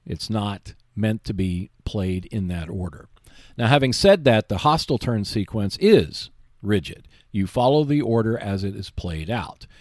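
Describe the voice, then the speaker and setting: A man's voice: on the low side, animated, measured pace, a little mellow, no echo, clean studio sound. A man hosting a gaming show, giving instructional tips in an explanatory, emphatic and reassuring way. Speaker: host of a tabletop gaming show. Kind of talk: instructional tips talk on board wargaming.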